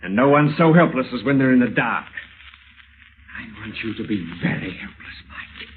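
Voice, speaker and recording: A man's voice: mid-range voice, lively intonation, slight background noise, natural voice. Man acting a menacing avenger, tinny old radio. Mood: cold menace. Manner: deliberate, theatrical, well-spoken. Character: vengeful husband, educated lawyer, coolly menacing and obsessed.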